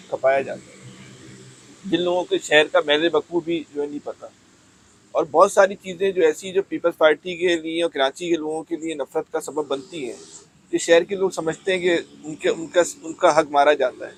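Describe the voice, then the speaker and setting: A man's voice: on the high side, steady tone, moderate pace, a little hiss or hum, poor audio. A man conducting a probing political interview, challenging and often interjecting.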